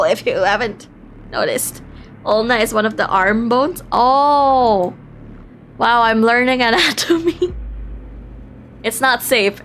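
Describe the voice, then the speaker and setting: Higher-voiced woman streamer reading aloud. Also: slight background noise. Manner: expressive, playful, breaks off into exclamations. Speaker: live game streamer. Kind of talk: reading a visual novel aloud with excited live reactions.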